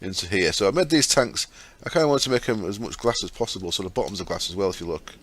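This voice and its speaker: A man with a fairly low voice and a sore, croaky throat, talking through his game. He chats casually as he explains each step, with plenty of ums and asides. He speaks into a desktop mic as he records his screen. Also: lively intonation, quick pace, fairly bright tone. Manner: chatty, explains step by step with fillers. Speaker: gaming channel host.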